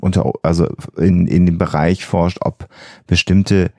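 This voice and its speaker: A man's voice: sits low, steady tone, a little slow, a little mellow, dry recording, studio quality. A man explaining things conversationally as a podcast co-host, often repeating words for emphasis.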